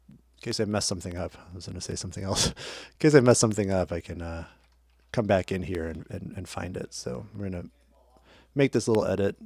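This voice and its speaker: A man's voice: low voice, creaky, a little mellow, dry recording, studio quality. A man casually talking through his podcast editing steps, correcting himself and narrating each click as he works. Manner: chatty, self-correcting, talks while working. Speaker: audio editing tutorial host. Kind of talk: casual screen-share tutorial.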